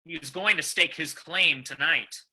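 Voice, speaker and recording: A woman's voice: deep voice, a little slow, sharp tone, heavily acted. A woman playing a ruthless, scheming male crime boss with curt, bossy Western talk in an old-time radio drama. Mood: menacing.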